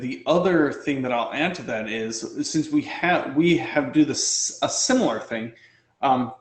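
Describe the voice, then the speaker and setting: A man, a dentist and company founder, speaking as an interview guest in an animated way, piling up rhetorical questions. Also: medium pitch. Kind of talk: casual interview conversation. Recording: roomy echo.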